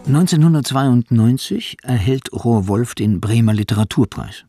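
Male narrator, scripted feature, articulate and measured, husky, dry close sound. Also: mid-range voice, lively intonation, mellow, no room sound, soft background music.

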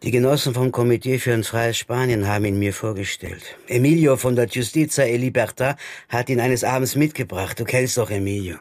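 An actor playing a jaded, defiant anarchist stage magician in a German radio crime drama, talking colloquially and chummily with a theatrical streak. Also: male voice, medium pitch, fairly quick, darkish tone, dry recording, lightly acted. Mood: guarded. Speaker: supporting actor.

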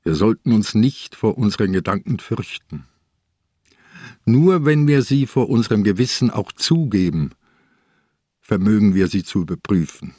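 An actor playing an ailing old police inspector in a German radio crime drama, calm, probing and relentless in a deliberate way. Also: male voice, fairly low pitch, husky, no room sound, clean studio sound. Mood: grave, relentless. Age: older.

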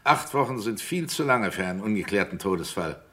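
An actor playing a brisk, matter-of-fact investigator in a crime radio drama. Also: male voice, on the low side, expressive, a bit bright. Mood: brisk, decisive.

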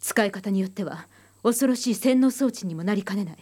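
An actress playing a composed, analytical psychology expert in a radio drama, thoughtful and probing in manner. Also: female voice, medium pitch, animated, brisk, a little mellow, slightly rough. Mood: probing, grave.

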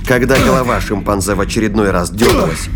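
A man's voice: on the low side, huge pitch swings, fast, over music. A man reading scripted dramatic narration as the narrator of an audio drama.

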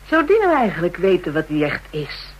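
A woman's voice: lowish voice, slight background noise, low-quality recording. An actress playing a coarse, brazen, spiteful call girl in a radio drama, talking in crude, taunting slang.

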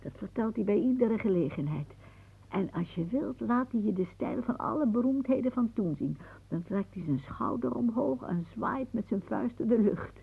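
An actress playing a wry, imaginative old aunt in a Dutch radio play, musing theatrically with pauses. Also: female voice, on the high side, lively intonation, gravelly, breathy edge, full character voice. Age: older. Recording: muffled phone-like old radio recording.

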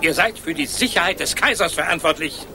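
A man's voice: on the high side, brisk, background noise, close to an everyday voice. An actor playing an impatient, haughty male nobleman of the imperial court, in a radio play. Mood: imperious. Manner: imperious, irritable. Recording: radio play with street ambience.

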